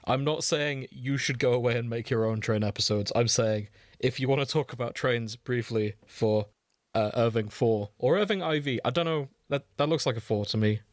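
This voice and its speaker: A man's voice: mid-range voice, normal speed, no echo, studio quality. A man hosting a podcast with casual banter, wry and teasing and happy to swear. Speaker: podcast host and editor.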